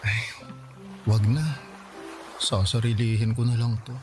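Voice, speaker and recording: Man playing spoiled young boyfriend, mid-pitched, whiny, coaxing, pouty, radio drama, prominent background music. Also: fairly even intonation, unhurried, close to an everyday voice. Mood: sulky, coy. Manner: whiny, coaxing, cutesy pouting. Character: pampered, wheedling young kept boyfriend, vain and materialistic. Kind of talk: Tagalog radio drama acting. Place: studio recording with music behind.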